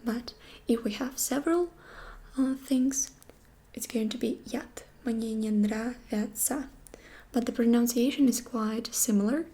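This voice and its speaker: A woman whispers her way through a gentle ASMR language lesson. Her voice sits a little high and mellow, and she takes her time over every phrase, patient and unhurried. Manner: soft whisper, slow patient explaining. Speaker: Russian language teacher.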